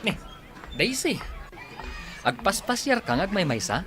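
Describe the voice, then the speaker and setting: A man's voice: sits high, very animated, a little slow, over a noisy crowd, heavy background noise. A man playing a cheerful, boastful young man in a radio drama, with a Filipino accent. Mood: jaunty.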